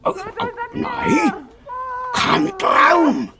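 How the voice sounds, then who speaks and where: A man's voice: very high voice, lively intonation, drawn-out words, background noise, heavily acted. A man playing a greedy, guilty, whining big bad wolf in a children's radio play, muttering theatrically. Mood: whining, distressed.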